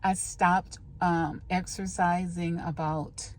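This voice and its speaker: A woman's voice: on the low side, fairly even intonation, slow pace, a little mellow. A woman vlogger chatting casually to the camera in a rambling, scattered way, recorded on a handheld phone.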